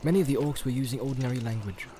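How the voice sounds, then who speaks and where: A man's voice: mid-range voice, rapid-fire. A man reading scripted fiction as a dramatized audiobook narrator. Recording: clear background noise of ambience.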